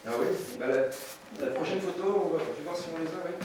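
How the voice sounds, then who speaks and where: A man's voice: on the high side, fast, darkish tone. A man in his fifties from France, presenting his photography at a seminar, with many fillers and restarts. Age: 50s. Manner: hesitant, many fillers and restarts, light chuckles. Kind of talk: seminar talk with slides. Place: seminar room with audience. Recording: echoey room, distant mic, noisy.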